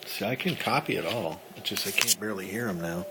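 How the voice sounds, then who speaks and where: Male, low-pitched, casual rambling ham radio hobbyist thinking aloud, noisy recording. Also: quick pace. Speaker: amateur radio operator. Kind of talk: casual explanatory chat. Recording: clearly noisy recording with ambient sound.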